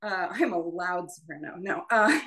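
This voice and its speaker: A woman voice teacher leading an informal prep session, explaining things in a halting, conversational and encouraging way. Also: lowish voice, sing-song, a bit bright. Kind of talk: informal spoken lesson.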